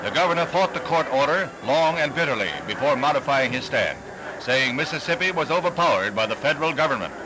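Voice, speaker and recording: A man's voice: a little high, expressive, brisk, noisy background. An American man reading scripted 1960s newsreel narration in an emphatic, formal announcer delivery, on a vintage soundtrack. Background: music under the voice.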